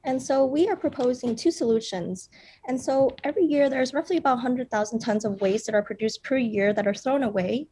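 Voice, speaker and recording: A young woman founder delivers her pitch earnestly, often pausing on small fillers. Her voice sits a bit high, with a mellow, darker tone. There is a husky grain to it throughout. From Vietnam. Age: young adult. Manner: earnest, with frequent fillers like "um" and "you know". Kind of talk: startup pitch presentation.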